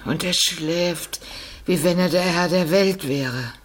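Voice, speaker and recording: An actress playing an outspoken, good-hearted maidservant in a German radio drama, plain-spoken and full of exclamation. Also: female voice, on the low side, slow, slight background noise. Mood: pitying.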